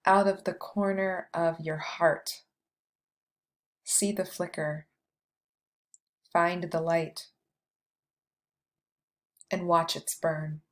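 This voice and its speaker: Slow, low-voiced woman guiding meditation. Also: flat delivery. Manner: slow, measured delivery with long pauses. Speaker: meditation guide. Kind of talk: guided meditation, scripted poetic narration.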